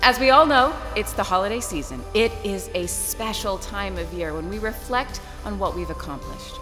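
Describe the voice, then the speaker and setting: A woman's voice: mid-range voice, moderate pace, fairly bright tone, long reverb tail, faint music behind, lightly acted. An actress playing Grace, a harried corporate event emcee who loses her temper and swears at her stage manager in an audio drama. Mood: nervous formal. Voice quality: profane, exasperated, bickers. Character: flustered harried woman company emcee.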